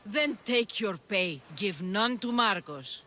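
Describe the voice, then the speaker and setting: An actor playing an incredulous, grudging villager in a video game cutscene, speaking in an exasperated, emphatic way. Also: male voice, sits high, lively intonation, takes it slowly, sharp tone, slight background noise, exaggerated voice.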